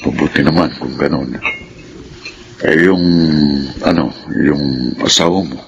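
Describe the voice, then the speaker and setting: A man's voice: a bass voice, a little slow, gravelly, full character voice. An actor playing a stern middle-aged father in a Filipino radio drama, probing and authoritative. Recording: dull, noisy radio sound.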